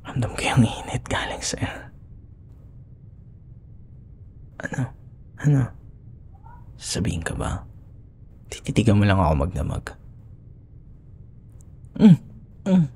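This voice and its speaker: A man with a Filipino accent playing a young, grudging, secretly smitten school rival in an intimate, breathy ASMR roleplay, often dropping into whispers. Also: fairly low pitch, huge pitch swings, a little slow, pauses often, darkish tone, exaggerated voice. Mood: flustered, intimate. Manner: teasing, grumbling, hesitant. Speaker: audio roleplay voice actor.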